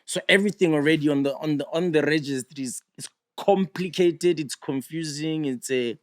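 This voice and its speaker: A man's voice: on the high side, normal speed, no echo. A man in his thirties hosting a podcast, joking around in easy banter in a studio. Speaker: podcast host. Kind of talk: casual conversation. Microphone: studio mic.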